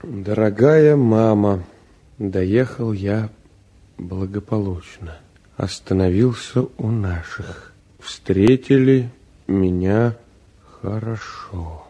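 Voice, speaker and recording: Mellow, plain-spoken young provincial man. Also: on the low side, slow. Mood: slow, pensive. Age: young adult. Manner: reserved, blunt, earnest. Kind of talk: stage-trained radio play acting.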